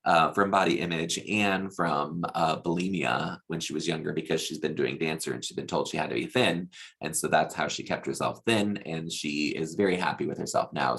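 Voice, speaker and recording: A man's voice: rumbling low, vocal fry, a bit bright. A man giving a chatty, opinionated recap as a host, with a casual, gossipy way of talking. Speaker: podcast host reviewing a TV show.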